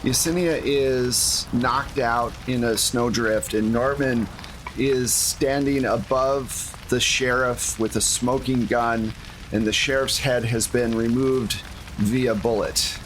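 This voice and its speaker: A man's voice: medium pitch, steady tone, slow pace, fairly bright tone, slight background noise, poor audio. A man running a tabletop game, improvising narration and chatting casually and jokily with players. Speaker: game master.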